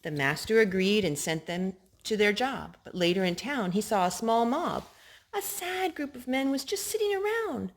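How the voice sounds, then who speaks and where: A woman reading picture books aloud to young children in an animated way, coaxing them with questions and leaning on key words. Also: a little high, moderate pace.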